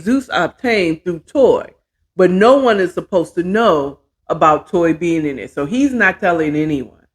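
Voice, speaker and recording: A middle-aged American woman hosting a show, chatting casually to viewers in a folksy way, often half talking to herself. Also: on the low side. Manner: folksy, talks to herself while working. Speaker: host of a storytelling show.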